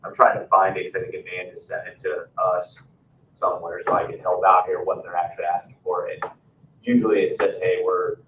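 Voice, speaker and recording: A man's voice: low-pitched, little pitch movement, a little fast. A man explaining procedure as an official at a public meeting, informally and with worked examples. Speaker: town official advising a commission. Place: meeting room, picked up from a distance. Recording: roomy, distant, muffled meeting recording with hiss.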